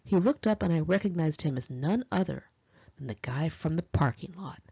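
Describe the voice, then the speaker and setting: Female memoir narrator, American, lively swooping intonation, confiding and dramatic, on a slightly dull lo-fi home recording. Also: low-pitched, normal speed, husky, dry recording. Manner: expressive, confiding, girlish slang. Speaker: author reading own memoir. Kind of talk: first-person audiobook narration, conversational and dramatic.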